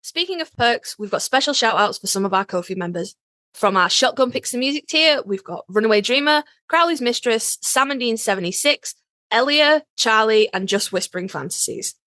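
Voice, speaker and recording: A woman's voice: fairly high pitch, expressive, normal speed, pushed and bright, dry recording. Effusive woman podcaster, dry close sound. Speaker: podcast co-host. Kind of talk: casual chat and podcast sign-off.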